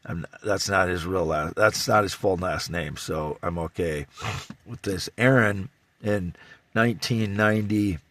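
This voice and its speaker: A man in his fifties from Iowa with a Midwestern American accent and a scratchy voice, rambling in a halting, repetitive, insistent monologue. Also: sits low, a bit bright, dry recording. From Iowa, United States. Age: 50s. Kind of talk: rambling self-recorded monologue.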